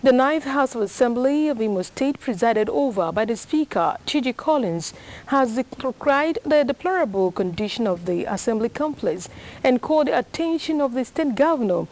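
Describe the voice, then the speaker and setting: A woman's voice: on the high side, expressive, moderate pace, darkish tone, rough recording. A woman with a Nigerian accent reading formal scripted television news as a presenter.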